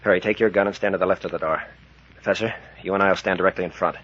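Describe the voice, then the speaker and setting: A brisk adventure captain rattles out orders in a forceful, husky voice. It is vintage radio acting on a dull old recording. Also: male voice, deep voice, steady tone. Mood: commanding. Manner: clipped, folksy, giving orders. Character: brisk, commanding male captain leading adventurers.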